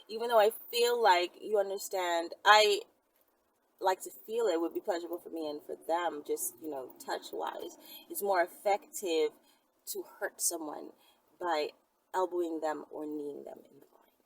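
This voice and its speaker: A woman hosting a casual question-and-answer video, speaking in a frank, conversational and emphatic way. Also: on the low side. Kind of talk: casual chat. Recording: distant mic with clear background noise.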